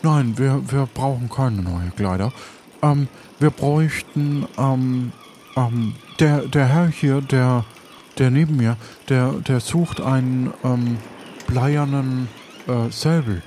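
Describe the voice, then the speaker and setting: A man's voice: mid-range voice, takes it slowly, mellow, a little hiss or hum, lightly acted. A man playing a polite pirate adventurer with a hesitant, rambling way of talking in an improvised adventure audio play. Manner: hesitant, rambling, repeating words.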